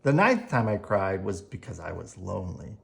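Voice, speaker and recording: A storyteller reading a children's picture book aloud in a gentle bedtime manner, adding spoken asides along the way. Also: deep voice, animated, moderate pace, creaky, a little hiss or hum. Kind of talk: expressive read-aloud with ad-libbed asides.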